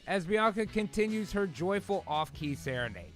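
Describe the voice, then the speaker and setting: A man's voice: sits high, expressive, normal speed, a bit bright, soft background music. A man hosting a live comedy table read, switching between script narration and casual, slangy, jokey banter with some swearing.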